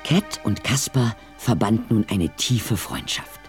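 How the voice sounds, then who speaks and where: A man narrating a German audio play in a measured, articulate scripted storytelling style. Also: lowish voice, expressive. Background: faint music.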